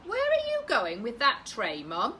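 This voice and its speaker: A woman's voice: on the high side, wildly swooping pitch, a little slow, bright, forceful tone, slight background noise, pitched above the performer's own voice, full character voice. A woman voicing an eager young child in a picture book, asking insistent, pleading questions. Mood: nosy.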